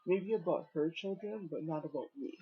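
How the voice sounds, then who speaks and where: A man in his thirties, an author sharing his life story in a casual spoken reflection, talking hesitantly with many pauses and fillers. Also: a little high, breathy edge. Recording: dull lo-fi recording.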